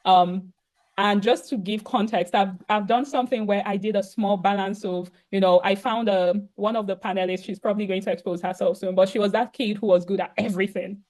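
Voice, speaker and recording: A woman hosting a panel discussion over a video call, relaxed and conversational with many fillers. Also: mid-range voice, brisk. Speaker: host and moderator. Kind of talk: casual panel moderation.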